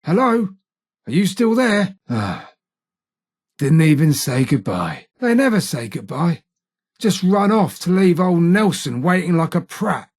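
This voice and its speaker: An actor playing a cocky, menacing street-gang gunman in a video game, with a raspy voice, a working-class English accent and a taunting, slangy manner. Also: high-pitched, exaggerated voice. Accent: British, working-class English. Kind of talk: video game character voice lines, combat barks.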